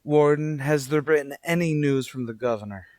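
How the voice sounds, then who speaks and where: An actor plays a meek man on death row in a radio serial. He sounds earnest and unsure of himself, stumbling gently over words, in a dry recording. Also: fairly high pitch, normal speed, dry recording, close to an everyday voice. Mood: resigned. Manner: hesitant, earnest. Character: meek, innocent condemned male prisoner, earnest and downcast. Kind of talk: old-time radio drama acting.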